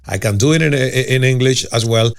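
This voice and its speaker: A man's voice: mid-range voice, normal speed, creaky. A man with a Cuban Spanish accent and Spanish as his first language, hosting a casual interview, earnest and emphatic, often restarting his words. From Cuba. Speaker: podcast host.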